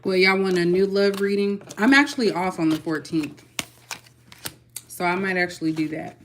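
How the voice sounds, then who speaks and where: Young woman, Texas accent, lowish voice, chatty tarot reading, faint hiss. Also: normal speed. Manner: chatty, loose, folksy with y'all. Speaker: tarot reader. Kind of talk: casual spiritual reading.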